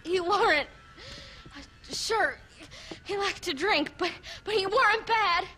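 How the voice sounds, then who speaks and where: A woman's voice: very high-pitched, unhurried, sharp tone, slight background noise, exaggerated voice. A tearful frightened country girl. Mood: rambling. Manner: tearful, rambling, rural folksy speech. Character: frightened young girl, orphaned by the undead, rambling. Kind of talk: video game cutscene acting.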